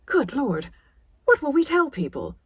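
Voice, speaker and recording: A woman's voice: sits high, wildly swooping pitch, unhurried, no echo, pitched above the performer's own voice, exaggerated voice. A woman voicing a disapproving, status-conscious middle-aged mother in an audiobook, clipped and scolding. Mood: appalled.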